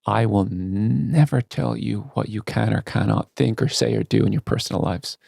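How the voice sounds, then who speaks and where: Gravelly, mellow man speaking earnestly. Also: lowish voice, normal speed, dry recording, clean studio sound. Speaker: tech company CEO, interview guest. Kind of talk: candid podcast interview conversation.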